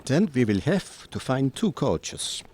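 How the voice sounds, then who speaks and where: A man's voice: mid-range voice, very animated, darkish tone, slightly rough, heavily acted. A man playing a grave, commanding older professor who hunts vampires, speaking formally in an audio drama. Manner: formal, instructing, deliberate phrasing. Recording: audible background noise.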